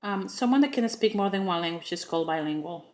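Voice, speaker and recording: A woman's voice: medium pitch, animated, fast talker, darkish tone. A middle-aged woman with a Spanish accent giving a prepared class speech, earnest and with frequent ums and uhs.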